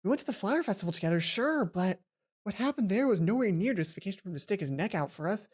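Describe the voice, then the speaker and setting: A woman hosting a let's play, reading a visual novel aloud and adding chatty, informal, animated asides. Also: medium pitch, quick pace. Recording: music behind the voice. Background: game music.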